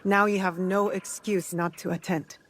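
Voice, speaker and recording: A woman's voice: mid-range voice, flat delivery, moderate pace, a bit bright, lightly acted. An actress playing a determined, guarded young shinobi woman in a video game cutscene, speaking in a blunt, terse, resolute manner.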